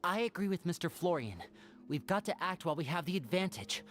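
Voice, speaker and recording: A woman's voice: fairly low pitch, very animated, brisk. An actress playing a polite, decisive young woman archer mage in a video game. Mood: resolute. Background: game music.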